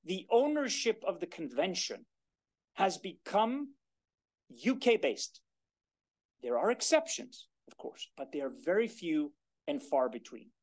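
A man's voice: high voice, big pitch swings. Emphatic man giving a keynote speech. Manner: emphatic, deliberate, gesturing at a lectern. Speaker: keynote speaker. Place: conference room, medium size, indoors. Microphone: standing at a lectern, filmed by a far camera.

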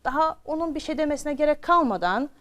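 A woman's voice: high-pitched, animated, fast talker, a bit bright. A woman telling a children's story expressively, repeating phrases for drama and addressing her young listeners directly.

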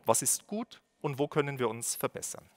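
A man's voice: mid-range voice, very animated. Bavarian man giving a formal welcome. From Lower Bavaria. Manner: measured, read-out delivery with occasional fillers. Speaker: city education official giving a welcome speech. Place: stage at a lectern, indoors. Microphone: lectern microphone.

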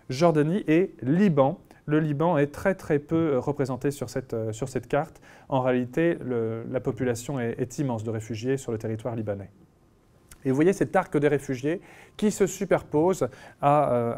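A man, a physician, giving a conference lecture over slides, speaking in a measured way with frequent pauses and restarts. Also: mid-range voice, dark tone. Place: conference room.

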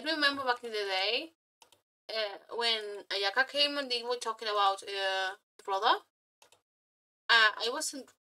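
A woman streamer chatting casually to viewers in a gushing, flirty fangirl manner, halting and repeating her words as she goes. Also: sits high, pushed and bright, poor audio. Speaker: aspiring VTuber and streamer. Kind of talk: casual reaction chat to viewers.

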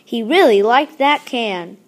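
A woman's voice: a little high, slow pace, background noise. A woman reading a children's picture book aloud expressively, acting out the exclamations and dialogue. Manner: animated, acts out exclamations and dialogue. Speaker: storybook reader.

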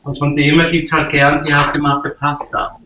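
A man's voice: fairly high pitch, level tone, normal speed, a loud crowd. A young man from Switzerland speaking as a guest over a video call from his kitchen, hesitant and polite. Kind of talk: casual chat on a video call. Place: home kitchen. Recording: roomy, dull video call audio.

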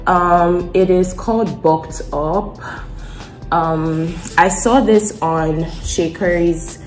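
A woman's voice: lowish voice, fairly even intonation, extremely slow, faint music behind. A young woman in her twenties chatting casually to her phone camera in a home kitchen, rambling with lots of fillers. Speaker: vlogger. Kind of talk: casual vlog chat. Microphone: phone camera at arm's length.

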